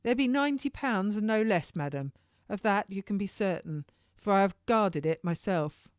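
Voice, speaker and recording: An urgent young male turnkey from a historical audiobook, acted out by a woman. The voice is lifted well up in pitch and presses each archaic, formal phrase with earnest emphasis. The sound is dry. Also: lively intonation, normal speed, no room sound, close to an everyday voice. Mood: earnest, official. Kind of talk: audiobook character dialogue.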